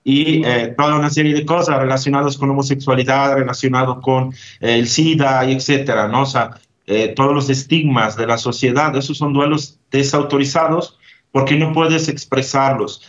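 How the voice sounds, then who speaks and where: A man's voice: medium pitch, fairly even intonation, normal speed, muffled recording. A man from Italy, a grief therapist, answering interview questions thoughtfully with frequent hesitations and tag questions.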